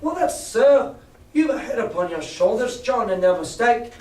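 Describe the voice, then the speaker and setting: Man doing a loud excitable pirate crewman. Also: extremely high pitch, normal speed, voice pushed up. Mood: relieved. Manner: loud, excitable sailor's talk. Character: superstitious rough pirate crewman, easily swayed. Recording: echoey room, far from mic.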